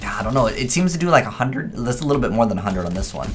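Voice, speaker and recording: A man's voice: mid-range voice, big pitch swings, racing, a little hiss or hum. A man giving casual, off-the-cuff gameplay commentary, thinking aloud and questioning himself as he goes. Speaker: gaming video creator.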